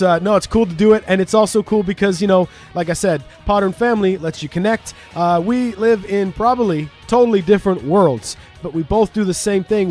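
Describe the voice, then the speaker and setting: A man's voice: sits high, darkish tone. A man with a Canadian accent co-hosting a podcast in casual, talkative chat, joking and swearing freely. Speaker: podcast co-host.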